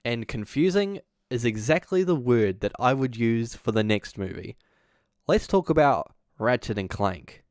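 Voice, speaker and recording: A man's voice: mid-range voice, animated, measured pace, a little mellow, no echo, studio quality. A man giving scripted, opinionated commentary in a conversational, emphatic way. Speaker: YouTube video essayist, host. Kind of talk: scripted commentary on video game movies.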